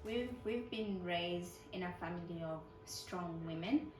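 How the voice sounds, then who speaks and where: A woman from Kenya, working as a nurse, talks casually and hesitantly, pausing with ums as she answers. She sits away from the mic in an echoey room, and her voice is a little low. Music plays clearly behind her. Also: recorded from a distance. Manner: hesitant, with frequent ums and restarts. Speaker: nurse, interview guest.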